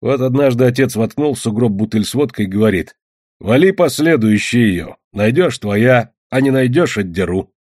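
A man's voice: medium pitch, animated, fairly quick, a little mellow, no echo, studio quality, natural voice. A man voicing a cheerful, self-assured taiga hunter in an audiobook, talking in a folksy, confident way. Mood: storytelling. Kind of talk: acted audiobook dialogue.

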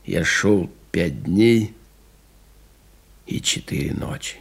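An actor playing an exhausted, stubborn pilot recalling his survival in a radio play, speaking in a husky, gravelly voice with halting, weighted pauses. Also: deep voice, sing-song, heavily acted. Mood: weary recollection. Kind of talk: dramatic radio play monologue.

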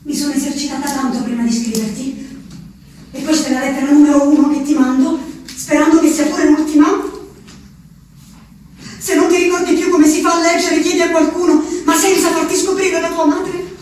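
Woman playing a desperate asylum inmate, very high voice, echoey room, music behind, a bit off mic. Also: steady tone, moderate pace, far from the mic, a little hiss or hum, close to an everyday voice. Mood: bitter. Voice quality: shifts from quiet reading to shouting. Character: woman locked in an asylum, writing to her husband, bitter and desperate. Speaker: actress. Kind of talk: radio drama acting. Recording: roomy radio broadcast with music, voice a bit off mic.

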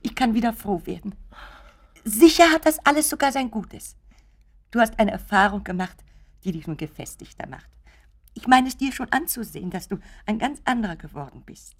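Breathy, anxious, doting mother. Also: fairly high pitch, expressive. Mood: relieved, emotional. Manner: pleading, drawn-out, dropping into whispers. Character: anxious, doting mother clinging to hope for her son. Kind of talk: German radio play acting.

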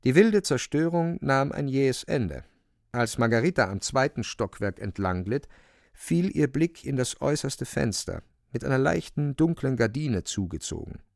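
A male narrator with a creaky, gravelly voice at a middling pitch. He tells a literary radio drama with theatrical delivery, recorded close in a very dry studio. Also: lively intonation, normal speed, darkish tone, no room sound. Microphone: close, dry studio microphone.

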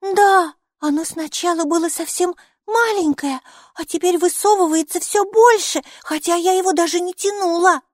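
A woman voicing a lively, stubborn, inquisitive little girl in a children's audiobook, speaking emphatically. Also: very high voice, normal speed, no echo, studio quality, voice pushed up. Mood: amazed. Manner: emphatic, excitable, matter-of-fact.

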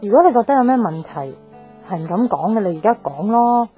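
A woman's voice: mid-range voice, lively intonation, brisk, mellow, soft background music, close to an everyday voice. An actress playing a frank, upbeat, stubborn young woman in a Cantonese radio drama, with a Hong Kong accent and a direct, casual manner. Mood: defensive, challenging. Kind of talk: naturalistic radio drama acting.

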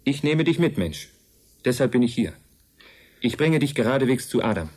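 Calm, earnest otherworldly envoy. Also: male voice, mid-range voice, slightly rough. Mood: urgent, reassuring. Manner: matter-of-fact, measured, explanatory. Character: calm, earnest male envoy of an advanced non-human race. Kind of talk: German radio drama acting.

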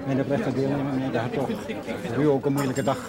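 A male actor playing a polite, concerned parish priest in a television drama, formal and measured. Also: mid-range voice, level tone, over a noisy crowd, natural voice. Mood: solemn condolence.

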